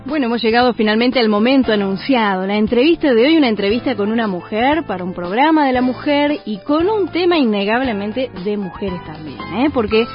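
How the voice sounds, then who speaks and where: A woman's voice: on the high side, lively intonation, brisk, hardly any pauses. A woman hosting a women's radio program and chatting in a friendly, conversational way with her guests. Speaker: radio host. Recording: muffled radio broadcast, faint music.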